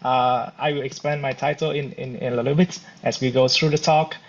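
Guy in his 30s giving a science seminar, stops and restarts a lot, kinda muffled lo-fi audio. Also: medium pitch. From Vietnam. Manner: halting, relaxed explaining with frequent restarts. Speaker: cosmology researcher giving a seminar. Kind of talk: academic seminar talk. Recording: dull lo-fi recording.

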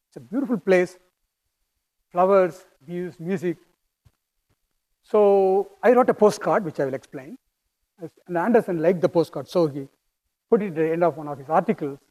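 A middle-aged man with a strong Indian accent giving a physics lecture, speaking in a halting, thoughtful way with fillers and restarts. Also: a little high, darkish tone, rough recording. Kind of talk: academic lecture.

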